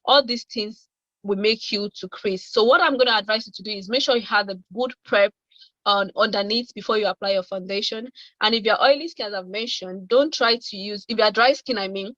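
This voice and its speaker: A woman's voice: mid-range voice, brisk, sharp tone, no echo. A woman giving informal spoken advice as a guest expert on an online video call. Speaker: guest make-up adviser. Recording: dry, dull lo-fi call audio.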